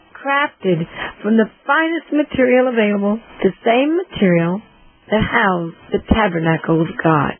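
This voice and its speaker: A woman narrates a fairy tale in an expressive, theatrical style with deliberate pauses. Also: fairly high pitch. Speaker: storyteller. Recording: tinny phone-like sound with faint noise.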